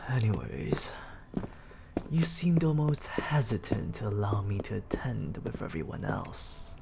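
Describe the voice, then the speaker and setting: A performer acting a suave, haughty demon lord in an ASMR roleplay, speaking theatrically and often dropping into a breathy whisper, close to the mic. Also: low voice, very noisy.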